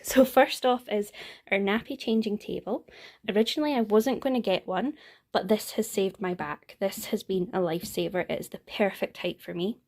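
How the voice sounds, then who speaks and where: A Scottish woman chatting at home in a casual vlog, upbeat and friendly in her delivery. Her voice sits at an ordinary middle pitch. She talks things through easily, with the odd little laugh and "um" along the way. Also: normal speed, darkish tone. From Scotland. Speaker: parenting vlogger. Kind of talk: casual product walkthrough.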